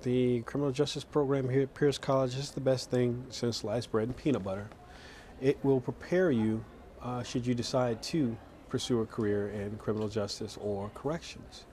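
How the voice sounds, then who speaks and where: A man giving an informal interview testimonial as an alumnus, halting and adding wry asides. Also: medium pitch, fairly even intonation, mellow, slight background noise. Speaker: program alumnus.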